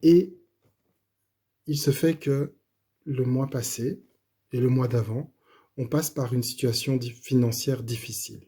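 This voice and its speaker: A middle-aged man, slightly hoarse, gives a personal faith testimony in a halting, casual way with many fillers and restarts. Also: mid-range voice, steady tone, warm tone.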